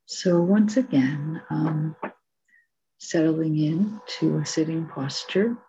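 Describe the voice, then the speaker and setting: A woman teaching meditation, giving calm, gentle spoken guidance with long pauses between phrases. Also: low-pitched, lo-fi sound, faint music behind. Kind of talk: guided meditation, soft spoken instruction.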